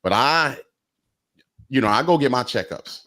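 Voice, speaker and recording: A man's voice: mid-range voice, animated, unhurried, bright, forceful tone. A man in his forties hosting a casual talk show, laid-back and rambling with plenty of fillers. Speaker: host. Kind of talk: casual podcast chat. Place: Atlanta.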